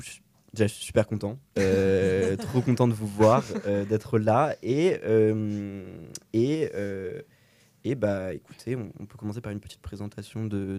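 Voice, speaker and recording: Guy hosting a chatty interview, lowish mellow voice, keen but hesitant. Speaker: interview host. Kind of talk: informal radio-style interview.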